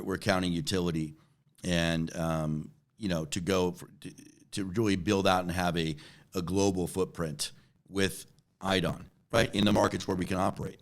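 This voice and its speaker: A man in his forties answering interview questions conversationally, repeating words and often checking in with a "right?". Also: sits low. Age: 40s. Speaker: interview guest, company chief executive.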